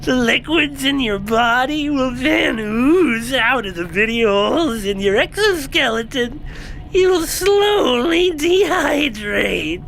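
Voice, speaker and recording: A woman's voice: sits high, animated, slow, a bit bright, slight background noise, full character voice, low-quality recording. A woman voicing a mocking insectoid alien pilot. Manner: mocking, cold. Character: calm, vengeful male insectoid alien pilot. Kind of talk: audio drama acting.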